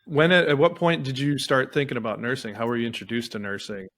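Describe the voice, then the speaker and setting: A man's voice: mid-range voice, fairly even intonation, fast, a bit bright. Easygoing guy co-host, jokey podcast banter, mid voice. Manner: friendly, joking banter. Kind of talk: podcast interview.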